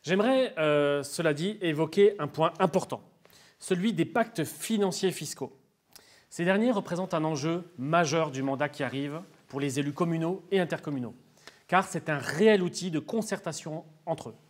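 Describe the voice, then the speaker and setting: A man's voice: on the high side, moderate pace. A man giving formal, didactic explanations as an expert in an interview, in structured, prepared sentences.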